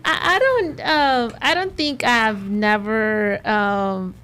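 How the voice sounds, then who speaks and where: A woman's voice: a little high, slow pace, sharp tone, clear, resonant tone. A woman with a Spanish accent, a realtor talking casually on a podcast with lots of "you know" and "like" fillers.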